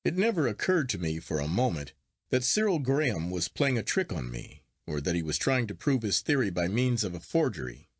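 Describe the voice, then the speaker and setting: A man reading a literary story aloud as an audiobook narrator, in a measured, articulate and expressive storytelling manner. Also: lowish voice, slightly rough, no room sound, studio quality. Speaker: audiobook reader.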